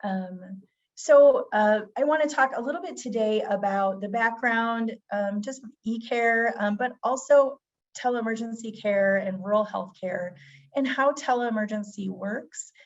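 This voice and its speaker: A woman's voice: a little high, measured pace. Slightly scratchy woman presenting, muffled audio. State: getting over a cold. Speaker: physician presenter. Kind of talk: webinar presentation, semi-scripted. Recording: dull, band-limited webinar audio.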